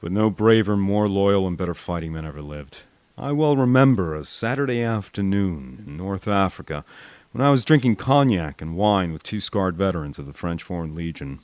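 Low, expressive American male audiobook narrator. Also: normal speed, clean tone, no echo. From United States. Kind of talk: expressive first-person memoir narration.

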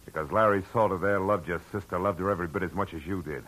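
An actor playing a tough, world-weary private detective in a 1940s radio drama, talking in clipped, hard lines. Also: male voice, low-pitched, fast talker, fairly bright tone, poor audio. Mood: forceful. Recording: old radio broadcast with faint hiss.

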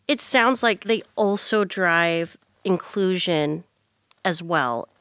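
A smooth-voiced woman interviewer. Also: fairly low pitch, a bit bright, dry recording, clean studio sound. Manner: measured, asks layered questions. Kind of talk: interview questions.

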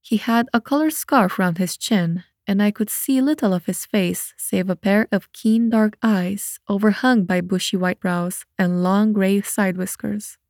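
A woman narrates a story in a scripted audiobook style. Her voice sits at a medium pitch and is recorded very dry, with no room sound. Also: unhurried, few pauses, darkish tone, dry recording.

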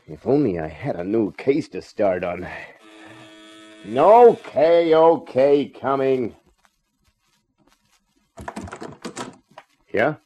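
An actor playing a wry, hard-boiled private detective in a 1950s radio drama, husky and slangy. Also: male voice, mid-range voice, lively intonation, slow pace. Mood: resigned, wry. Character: wisecracking, hard-boiled male private detective, weary of romance. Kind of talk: 1950s radio detective drama acting and first-person narration. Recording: dull vintage radio drama recording.

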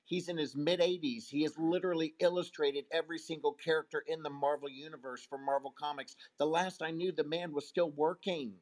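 Animated man in his fifties. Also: on the high side, a little fast, few pauses. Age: 50s. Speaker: talk show host. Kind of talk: casual conversation.

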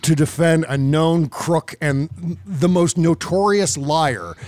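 Male podcast host, higher register, dry sound. Opinionated, emphatic, casual political talk. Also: lively intonation, slow, darkish tone, dry recording.

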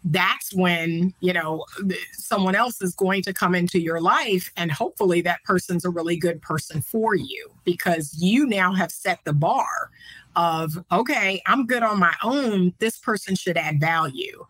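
A woman who is a counselor, giving measured and encouraging advice in a podcast conversation. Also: fairly low pitch, fairly bright tone, no echo, poor audio. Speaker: guest counselor.

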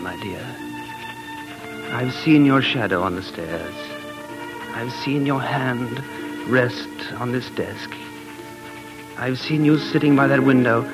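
A heartsick gentleman in a 40s radio play, solemn n tender, music swelling behind. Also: medium pitch, rough recording. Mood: wistful. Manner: slow, solemn, tender delivery. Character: lovelorn gentleman pledging eternal devotion to a lost love. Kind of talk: 1940s radio drama acting.

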